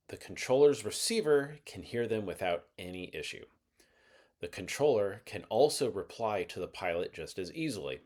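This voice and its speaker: Male explainer narrator, lower register, measured and methodical scripted read.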